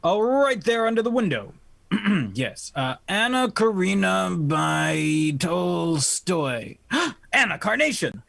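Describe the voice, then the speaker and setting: A performer plays a gentle, teasing male confidant in an old radio comedy. The voice is gravelly at the edges and slow, swinging up and down through coy hesitations. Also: medium pitch, sing-song, no echo, heavily acted. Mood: coy, hesitant. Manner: halting, drawn-out, coy hesitations. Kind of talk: 1940s radio play acting.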